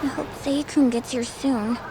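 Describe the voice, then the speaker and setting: A woman's voice: high-pitched, steady tone, normal speed, very noisy. A woman playing a lovestruck, touchy young woman in denial, in a video game dub. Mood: wistful, defensive.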